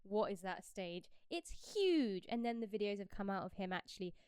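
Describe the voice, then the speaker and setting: A woman's voice: medium pitch, expressive, moderate pace, a little mellow, clean tone, dry recording, studio quality. A British woman co-hosting a podcast in casual, gossipy chat about pop culture, swearing casually. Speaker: podcast co-host.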